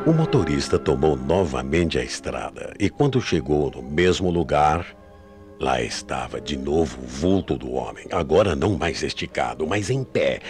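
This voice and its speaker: A very deep-voiced Brazilian man narrates a mystery tale for radio. His delivery is dramatic and highly inflected, with music playing clearly behind him.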